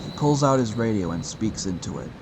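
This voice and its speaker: A man's voice: lowish voice, lively intonation, brisk, noisy background. A man narrating a scripted adventure audio drama as its storyteller. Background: loud music.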